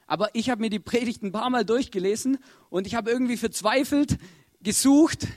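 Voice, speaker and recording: Man, high voice, dry close sound; relaxed chatty Bible teacher who keeps checking in with the group. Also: a little fast, dry recording. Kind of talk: casual Bible talk to a group.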